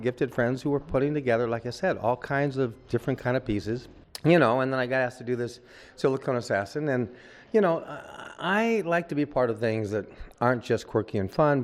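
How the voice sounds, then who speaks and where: A man's voice: mid-range voice, fairly quick. An older man, a veteran actor, chatting at length in an on-set interview, rambling with many asides.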